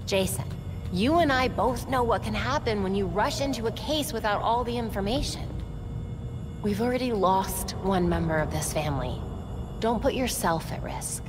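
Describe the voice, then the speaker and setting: An actress playing a level-headed young female crime-fighter in a video game, frank, practical and steady. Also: medium pitch, normal speed, over music, close to an everyday voice. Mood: earnest, reassuring. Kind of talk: dramatic video game acting.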